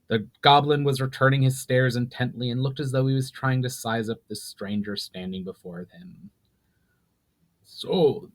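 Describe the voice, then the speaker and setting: A man with a medium-pitched voice narrates a fantasy novel. He is the author, giving a dramatic, scripted audiobook-style reading. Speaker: author narrating his own book.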